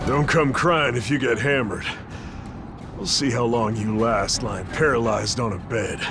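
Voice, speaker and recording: An actor playing a brash, boastful rival fighter with a sneering, taunting delivery in a video game. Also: male voice, medium pitch, measured pace, lightly acted. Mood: mocking. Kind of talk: English video game cutscene acting. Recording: music behind the voice.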